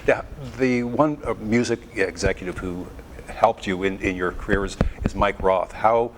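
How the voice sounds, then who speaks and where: A man's voice: lowish voice, normal speed, background noise. A man hosting an interview at a concert venue, asking questions with hesitant repeats. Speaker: interviewer, show host.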